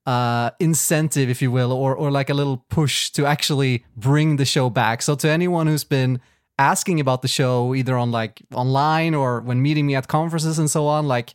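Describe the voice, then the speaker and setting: A man's voice: medium pitch, no room sound, clean studio sound. A man whose first language is Swedish, co-hosting a tech podcast in casual chat, rambling with lots of fillers and restarts. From Sweden. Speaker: podcast co-host.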